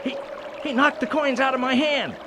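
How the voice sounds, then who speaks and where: A man's voice: very high-pitched, normal speed. A man acting an earnest, determined character haunted by a ghost in an amateur radio play. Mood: frightened. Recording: clearly noisy, trickling water behind.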